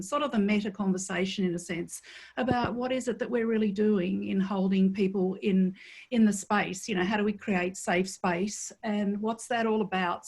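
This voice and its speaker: A woman's voice: mid-range voice, a little mellow. A woman academic and facilitator introducing herself fluently on a video call.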